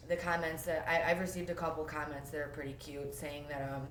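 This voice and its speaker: A young woman talks about her own life at home, her low voice rambling along with plenty of fillers and restarts. She sounds sniffly from a cold. The room is echoey, and a faint hiss sits underneath. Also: completely flat delivery, a little fast. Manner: rambling, lots of fillers and self-interruptions. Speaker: vlogger sharing her recovery story. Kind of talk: casual first-person storytelling.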